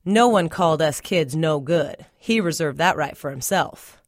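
Lady reading a short story out loud, first person, slightly low voice, dry recording. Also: animated, normal speed, no echo. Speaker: story reader. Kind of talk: scripted first-person literary narration.

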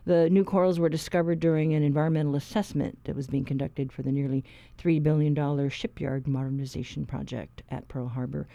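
A male radio host reads his segments in a steady, measured announcer style. His voice is warm and mellow rather than bright. The studio sound is dry and close, with no room echo. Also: a little high, muffled recording. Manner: measured, clear announcer delivery. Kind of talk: public radio interview and announcements. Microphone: studio microphone.

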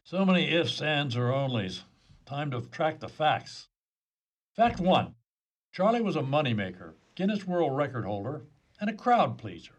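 A man's voice: fairly high pitch, sing-song, moderate pace. A man playing a wry, commanding police detective in a comedy whodunit radio play, with a theatrical, declarative delivery. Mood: wry, confident.

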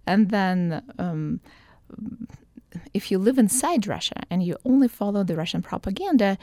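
A woman from Ukraine speaks as a professor on a radio interview, explaining things at a measured, thoughtful pace and pausing often on fillers. Her voice has a gravelly, creaky edge at a middle pitch. The sound is dry and close, with no room echo. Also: lively intonation, warm tone. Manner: thoughtful, many filler words and restarts. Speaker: political science professor, guest.

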